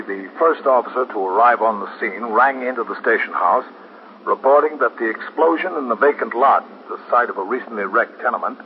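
An American man playing a steady, concerned police captain in a 1950s radio drama, speaking in a measured, matter-of-fact way. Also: medium pitch, close to an everyday voice. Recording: dull, noisy 1950s radio recording.

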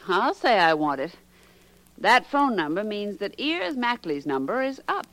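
Casting: persistent girl-Friday detective for vintage radio drama, brisk, wry, very expressive intonation. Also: mid-range voice, no echo, low-quality recording. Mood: brisk, determined. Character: plucky, persistent secretary playing amateur detective. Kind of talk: 1940s radio crime drama acting.

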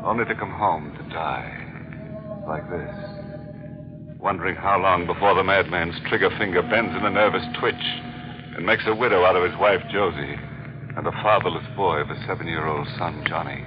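An actor playing a mock-courteous, darkly teasing horror host on a 1940s radio mystery, theatrical and morbid. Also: male voice, sits low, moderate pace, noisy background, natural voice. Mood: ominous. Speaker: host and narrator. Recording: dull old radio broadcast with music.

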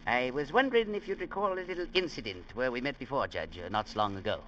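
A man plays a crusty old sea captain in a vintage radio play. He talks in folksy understatement, proud and sly, with a very lively pitch. The recording is muffled and full of noise. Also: fast talker, natural voice. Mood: sly, guarded. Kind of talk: 1950s radio drama acting.

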